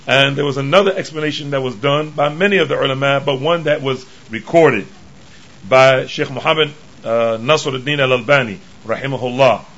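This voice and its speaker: Hoarse, tired man giving a lecture. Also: medium pitch, a bit bright, dull audio. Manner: halting, with many pauses and restarts. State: tired from travel. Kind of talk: religious lecture.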